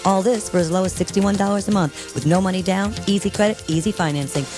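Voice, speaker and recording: Rapid-fire American woman commercial announcer. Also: mid-range voice, music in the background. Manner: brisk, promotional. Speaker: advertising announcer. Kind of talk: commercial voice-over.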